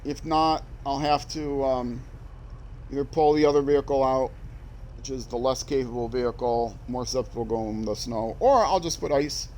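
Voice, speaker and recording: A man's voice: mid-range voice, normal speed, a little mellow, slight background noise. An older man from Minnesota with a Minnesota accent, rambling and self-deprecating as he streams a driving game. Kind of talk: casual gameplay commentary.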